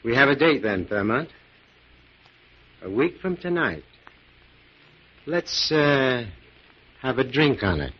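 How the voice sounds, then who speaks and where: An actor playing a tough, wary moneylender in a 1940s radio crime drama, talking in blunt slang. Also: male voice, medium pitch, big pitch swings, slow pace, pauses often, slight background noise. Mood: dealing. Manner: blunt, slangy, hard-bargaining. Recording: muffled old 1940s radio recording.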